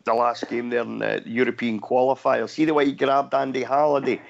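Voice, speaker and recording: A man's voice: medium pitch, little pitch movement, a little fast, creaky, lo-fi sound. A man co-hosting a radio phone-in, encouraging and chuckling. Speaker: radio co-host. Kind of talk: casual phone-in chat.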